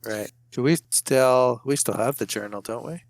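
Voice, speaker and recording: A man's voice: mid-range voice, normal speed, creaky, no room sound. A man taking part as a player in a tabletop roleplaying game, casually saying what his character does.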